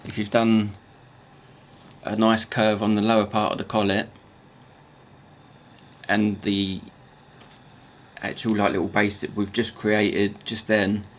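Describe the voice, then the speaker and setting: Man, croaky morning voice, halting repeated words, casual tutorial talk, faint workshop hum behind. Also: lowish voice, level tone.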